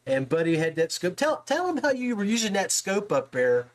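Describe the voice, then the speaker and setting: A man's voice: sits high, sing-song, moderate pace. A man hosting a podcast, chatting casually and informally with a guest about his hobby in a folksy way. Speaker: podcast host. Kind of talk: casual interview chat about fishing.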